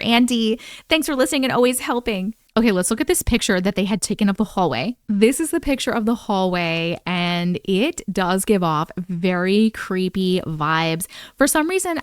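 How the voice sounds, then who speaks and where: A woman in her thirties reading viewer-submitted scary stories aloud in a bedroom, breaking off for chatty, animated comments. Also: mid-range voice, dry recording, studio quality. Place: bedroom, indoors. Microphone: large studio mic on boom in front of her.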